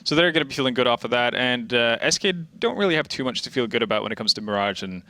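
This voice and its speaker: A man's voice: mid-range voice, animated, fast, pushed and bright. A man from Norway working as an esports analyst, talking at length with lots of fillers. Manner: talkative, with many "uh" and "you know" fillers. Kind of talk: studio desk analysis chat.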